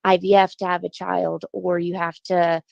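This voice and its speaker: A woman's voice: on the low side, level tone, moderate pace, gravelly, darkish tone, no echo. A woman calling in to a radio talk show, with a froggy just-woken voice and hesitant talk full of fillers and restarts. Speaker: caller. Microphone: phone. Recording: dull, muffled phone line.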